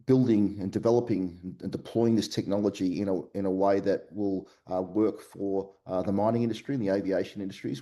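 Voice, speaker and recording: A man with an Australian accent, a company CEO answering podcast interview questions remotely, halting often with fillers and restarts. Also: fairly low pitch, mellow. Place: remote call from Melbourne.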